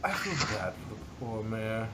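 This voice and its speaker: A man's voice: animated, unhurried, bright, forceful tone. A man voicing a refined, dramatic female unicorn seamstress in a fan fiction reading, genteel and theatrical. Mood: gentle concern. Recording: noisy home recording with rumble.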